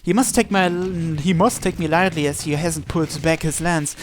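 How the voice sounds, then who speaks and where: A man reading a visual novel aloud in an expressive, dramatic first-person narration with occasional stumbles. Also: a little high, moderate pace, slight background noise.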